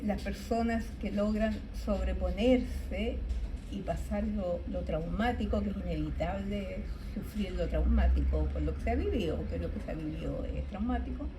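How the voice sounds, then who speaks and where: A woman, speaking in a measured, hesitant way as she makes an academic comment on a panel. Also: mid-range voice. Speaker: panelist in a discussion. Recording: noisy room ambience.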